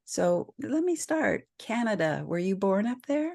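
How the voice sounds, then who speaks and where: A woman's voice: medium pitch, very animated, vocal fry. An older woman, a psychologist, hosting a podcast interview in casual chat, laughing often. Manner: slow, warm, laughs often.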